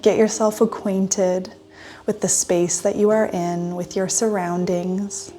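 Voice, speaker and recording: A woman leading a guided meditation in a gentle, soothing manner with long pauses. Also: mid-range voice, flat delivery. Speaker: meditation guide, yoga teacher. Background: soft instrumental music.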